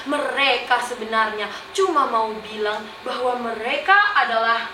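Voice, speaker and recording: A young woman acting a boastful, mocking self-styled artist in a theatrical stage monologue, exaggerated and taunting, with drawn-out, singsong words. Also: fairly high pitch, sharp tone. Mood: mocking, scornful. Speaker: student stage actor in a monologue competition. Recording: roomy echo, faint background noise.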